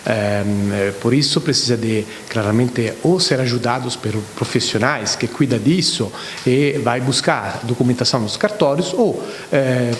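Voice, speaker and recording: Italian man, hesitant halting Portuguese. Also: medium pitch, in a large hall, a little hiss or hum. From Italy. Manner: hesitant, many "eh" fillers, searching for words. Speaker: lawyer, interview guest. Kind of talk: studio TV interview.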